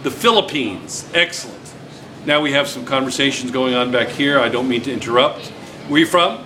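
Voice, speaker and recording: A man's voice: medium pitch, expressive, moderate pace, pushed and bright. A man teaching a class, chatty and teasing, repeating his students' answers back to them. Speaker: physics teacher. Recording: noisy classroom recording.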